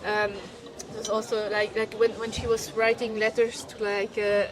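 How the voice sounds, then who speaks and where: A woman from Switzerland, a film director, talking in a casual interview, halting and restarting as she searches for words. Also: on the high side, completely flat delivery, poor audio. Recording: crowd chatter behind.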